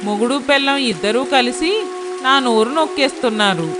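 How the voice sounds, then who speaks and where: A woman's voice: fairly high pitch, brisk, music in the background, close to an everyday voice. A woman voicing a stern, worrying older village mother who cares grudgingly, in a moral story. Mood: grudging, cautioning. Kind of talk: acted dialogue in a moral story.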